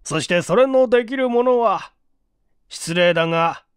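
A man's voice: sits high, expressive, smooth, no room sound, voice pushed up. Man playing grave police chief, dry sound. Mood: accusing, stern. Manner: solemn, formal, deliberate. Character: grave, authoritative male police chief, cool and probing.

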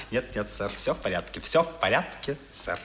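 A man's voice: mid-range voice, very animated, normal speed, a bit bright. A man acting a jolly, cheeky manservant in a 1970s radio play, exuberant and sing-song in his delivery. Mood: exuberant, mocking. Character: jolly, cheeky English manservant, irrepressible and loyal.

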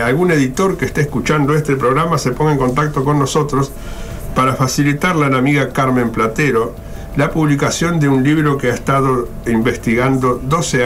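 A man's voice: medium pitch, a bit bright. A man hosting a radio show in an informal, effusive, wandering monologue. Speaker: radio host. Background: music.

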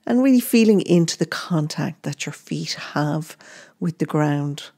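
A woman gently guiding a meditation in short, unhurried phrases. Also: sits low, animated, slightly rough. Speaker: meditation guide. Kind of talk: guided meditation narration.

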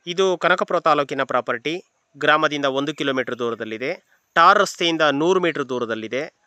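A man narrating a property sales video, phrase by phrase with measured pauses. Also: fairly high pitch, bright, forceful tone, no room sound. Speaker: property agent presenting a farm for sale.

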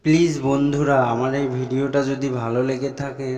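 A man's voice: mid-range voice, steady tone, fairly quick, a little mellow. A man narrating a simple story for a cartoon. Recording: long reverb.

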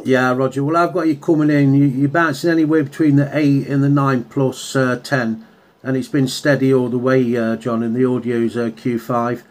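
A man's voice: medium pitch, level tone, normal speed, a little mellow. A man chatting casually over CB radio as a hobbyist, full of radio jargon. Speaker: radio hobbyist and video maker. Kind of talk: casual CB radio contact chat. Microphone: handheld radio microphone.

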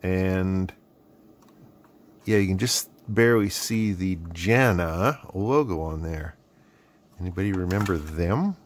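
A man's voice: low-pitched, takes it slowly, pauses often. A middle-aged man with a Canadian accent, narrating casually and unscripted at his workbench in a rambling, self-deprecating way. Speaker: host of an electronics hobby video series. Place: indoor hobby electronics workbench. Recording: clearly noisy background with clicks.

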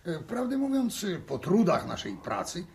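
A conciliatory scientist in an old radio play. The voice is fairly high and gravelly, hesitant and polite, with lively intonation. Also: male voice, moderate pace, a little hiss or hum, heavily acted. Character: hesitant, conciliatory male scientist, mildly uneasy. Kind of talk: 1960s Polish radio drama acting.

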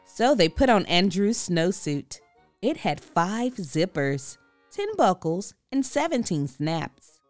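A woman reading a children's story aloud expressively, with theatrical, deliberate emphasis. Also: fairly low pitch, very animated, no echo, soft background music. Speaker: storybook reader.

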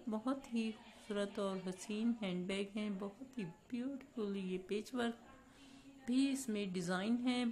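A woman's voice: mid-range voice, a little mellow. A woman hosting a channel, speaking in a formal, devout voice-over full of blessings. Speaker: channel host. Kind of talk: scripted voice-over showcase.

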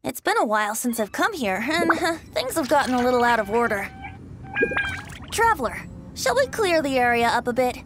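A woman's voice: high-pitched, sing-song, moderate pace, music in the background. A woman voicing a carefree, teasing young male bard in a video game, light and boyish with a lilting delivery. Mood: breezy, wistful. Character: playful, carefree youthful male bard, secretly wise. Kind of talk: video game character acting.

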